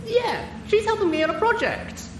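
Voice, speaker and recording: Woman playing a brash high-pitched pegasus pony. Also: sing-song, normal speed. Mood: brash, eager. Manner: casual, punchy, swaggering. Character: brash, cocky young female pegasus pony, eager and boastful. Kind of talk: character acting in an audiobook reading. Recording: roomy, distant mic with background noise.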